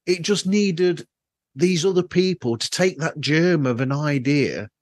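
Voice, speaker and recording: A man's voice: a little high, unhurried, no room sound. Rambling male podcast host, very dry sound. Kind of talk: casual interview chat. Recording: dry, faulty podcast microphone.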